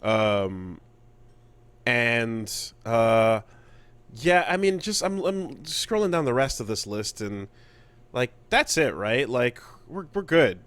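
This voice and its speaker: A man co-hosting a gaming podcast in casual chat, halting and repeating words as he thinks aloud. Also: medium pitch, sing-song, fairly bright tone, clear, resonant tone, no room sound. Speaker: podcast co-host.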